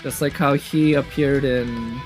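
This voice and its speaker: A man's voice: medium pitch, fairly even intonation, a little slow. A man giving casual, off-the-cuff live commentary as a streamer reacting to game trailers, musing as he goes. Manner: off-the-cuff musing, sometimes humming tunes. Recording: music playing behind the voice. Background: game trailer music with guitar.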